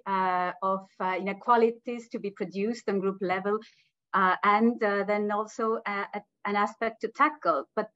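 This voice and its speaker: A woman presents academic research at an online conference. She speaks hesitantly, with many filler sounds, at a middle pitch. Her voice comes through muffled, dull video-call audio. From Estonia. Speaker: sociology professor.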